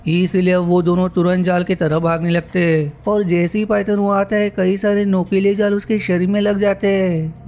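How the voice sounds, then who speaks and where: A man's voice: sits high, a little mellow, slight background noise. A man narrating a film story from a script, recapping it scene by scene as a storyteller.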